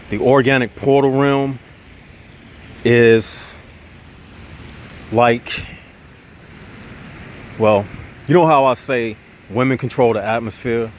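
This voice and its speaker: Male vlogger, nasal from a cold, preachy rap-to-camera delivery, mid pitch, faint vehicle noise behind. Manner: preachy, animated, addresses viewers as y'all. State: nasal, getting over congestion. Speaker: channel host. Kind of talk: casual monologue to viewers.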